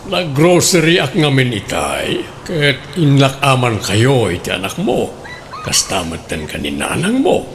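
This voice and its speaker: A man playing a suave, gravelly flirt. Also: medium pitch, wildly swooping pitch, unhurried, a little hiss or hum. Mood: smooth. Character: smooth-talking flirtatious male customer, middle-aged. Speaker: radio drama actor. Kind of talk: comedic radio drama acting.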